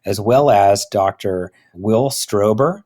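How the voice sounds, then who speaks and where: Man, lowish pitch, podcast host, interview style. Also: takes it slowly, a little mellow. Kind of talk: interview hosting.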